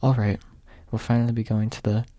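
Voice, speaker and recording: A man's voice: lowish voice, little pitch movement, a little fast, dark tone, no room sound, natural voice. A man voicing a flustered, protesting young male hero in a fantasy audiobook.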